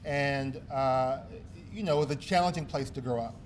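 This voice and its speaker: New man, award honoree, informal self-mocking speech at a gala podium, mid pitch, faint room noise. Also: moderate pace. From East New York, Brooklyn. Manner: self-deprecating, chatty, full of ums and uhs. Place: gala banquet hall.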